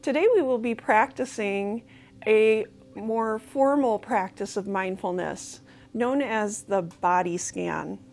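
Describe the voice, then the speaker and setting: A woman with an American accent, slowly guiding a body scan meditation in a soft, calm voice. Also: mid-range voice, creaky. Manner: slow, soft-spoken, calm guidance with pauses. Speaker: mindfulness guide.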